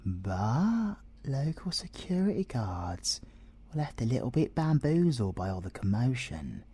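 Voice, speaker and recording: Husky, cocky British male siren played by an actor. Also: medium pitch, sing-song, measured pace, mellow. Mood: teasing, smooth. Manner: teasing, theatrical, drops into whispers. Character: cocky, flirtatious male siren under police interrogation. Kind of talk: ASMR audio roleplay.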